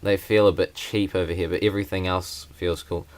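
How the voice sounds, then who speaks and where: A young man, speaking in a deep voice that barely moves in pitch. He talks plainly and haltingly, with many fillers, as he shows things to a camera. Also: flat delivery, darkish tone. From New Zealand. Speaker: vlogger. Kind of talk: casual unboxing vlog.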